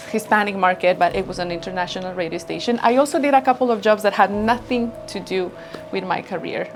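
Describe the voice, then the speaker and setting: Woman founder, 30s, from Ecuador, warm grateful interview talk, music under her. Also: medium pitch. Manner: earnest, grateful storytelling. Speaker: business founder. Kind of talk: interview answers. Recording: background music bed.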